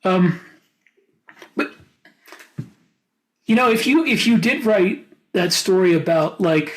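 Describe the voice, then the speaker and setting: A man's voice: sits high, expressive, slow, poor audio. A man co-hosting a podcast, talking casually with others about science fiction. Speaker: podcast co-host.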